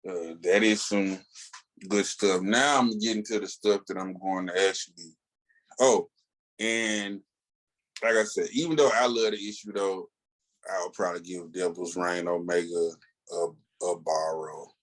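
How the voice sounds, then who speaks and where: A man with a fairly low voice talks casually as a podcast co-host. He is opinionated and emphatic, uses plenty of slang, and drifts into asides as he reviews. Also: steady tone, a little slow, low-quality recording. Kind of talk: casual, opinionated comic book review chat.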